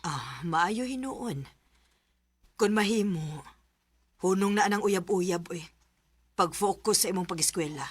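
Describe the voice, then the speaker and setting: A woman's voice: fairly low pitch, animated, measured pace, pauses often. A woman playing a caring mother. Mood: advising. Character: caring middle-aged mother. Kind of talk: Visayan radio drama acting.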